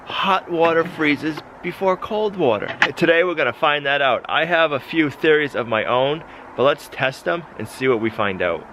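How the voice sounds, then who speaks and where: A man's voice: on the high side, lively intonation, normal speed, noisy background. A man narrating a casual homestead vlog, chatty and explaining each step as he goes. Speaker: homestead vlogger. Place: outdoors on a farm in winter.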